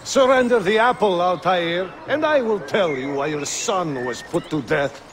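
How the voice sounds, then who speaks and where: A man's voice: high voice, expressive, unhurried, a bit bright. An actor playing a scornful, ambitious male rival leader of an assassin order in a game cutscene. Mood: cold. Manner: cold, accusing. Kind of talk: video game cutscene acting.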